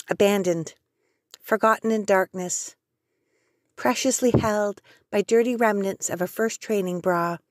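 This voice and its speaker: A woman reading a poem very slowly and deliberately, at a middle pitch. The recording is dry and close, with no room sound. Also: darkish tone, no room sound. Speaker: poet. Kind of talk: poetry reading.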